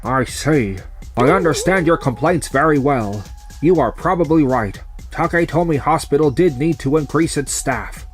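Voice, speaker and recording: A man's voice: a little high, lively intonation, normal speed, soft background music, lightly acted. A man playing a defensive, formal hospital official in a manga dub, with a businesslike delivery. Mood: conceding.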